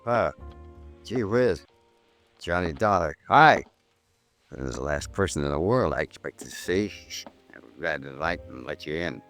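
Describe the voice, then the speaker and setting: A very deep-voiced, mild insurance man from a 1950s radio drama. He sounds unsteady and sheepish, halting with wavering inflection. The vintage recording is close and dry. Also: expressive, a bit bright, dry recording, soft background music, heavily acted. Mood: sheepish, guarded. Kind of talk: 1950s radio noir acting.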